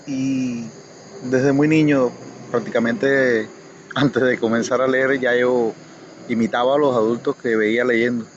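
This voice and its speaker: A Cuban man speaks in an average-pitched voice, recalling personal memories at a reflective, halting gait. He sounds like a guest in a casual chat. The recording is muffled and lo-fi, with light noise and insects behind him. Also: steady tone. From Cuba. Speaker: guest reader. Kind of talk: personal recollection in casual conversation. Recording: dull lo-fi audio, faint noise, insects.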